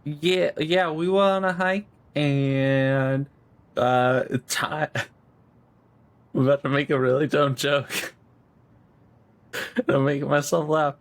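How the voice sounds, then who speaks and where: A man with a fairly high voice talks slowly and loosely at a tabletop game. He jokes, laughs easily and rambles, with a playful edge. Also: fairly even intonation. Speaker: player. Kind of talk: casual tabletop role-play.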